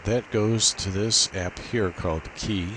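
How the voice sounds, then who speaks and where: A man's voice: on the low side, unhurried. A man giving an unscripted, methodical technical walkthrough, explaining a setup step by step. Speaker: amateur radio demonstrator. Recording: steady hiss and noise behind voice.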